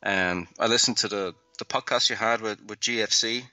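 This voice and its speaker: A man from Ireland with an Irish accent, a podcast guest chatting in a relaxed way with loose swearing. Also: lowish voice, moderate pace, no echo, low-quality recording. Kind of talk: casual podcast chat.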